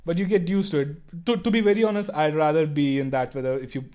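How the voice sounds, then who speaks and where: Indian-accented man, slightly high voice. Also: steady tone, fast talker, a little mellow. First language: Tamil. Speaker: podcast guest or co-host. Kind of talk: casual chat.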